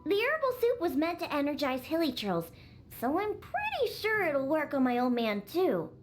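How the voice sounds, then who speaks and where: An exasperated young girl from a video game, bossy and bratty. The voice sits high and moves around in lively swings as it scolds and instructs. Faint music sits behind it. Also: normal speed. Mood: bossy, instructing. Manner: scolding, bratty, matter-of-fact. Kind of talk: video game character acting.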